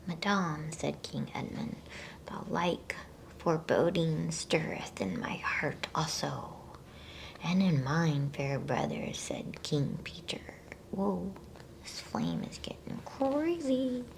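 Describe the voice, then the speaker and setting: A woman reading a bedtime story as ASMR in a soft, breathy, hushed whisper, unhurried and close to the microphone. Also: sits low, animated. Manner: hushed, unhurried, with playful asides.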